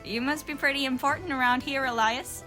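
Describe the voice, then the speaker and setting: A woman plays a sassy, bold teenage magical girl in a fan dub. The voice is bright and forceful, a little high, with faint music behind. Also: brisk. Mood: impressed. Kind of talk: fan dub character acting.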